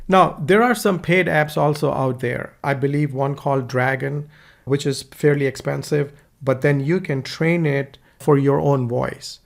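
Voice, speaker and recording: A man with an Indian accent walks viewers through a software tutorial in a relaxed, instructive way. His voice sits a little high and has a gravelly edge. He speaks close to a USB desktop mic. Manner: casual, instructive, dictates punctuation aloud. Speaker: online course instructor. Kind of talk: screen-recorded tutorial. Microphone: USB desktop microphone.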